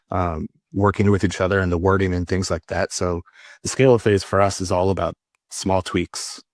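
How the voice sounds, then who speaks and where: A man speaking as a guest in an unscripted panel discussion, explaining his work in a measured way and repeating words as he goes. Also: deep voice, no room sound, clean studio sound. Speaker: customer support leader, panel guest.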